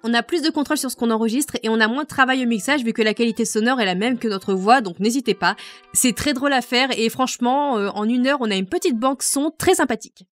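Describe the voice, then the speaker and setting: Woman reading her fandub faq answers, slightly high voice, faint music under. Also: fast talker. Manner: explanatory, detailed. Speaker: fandub project lead.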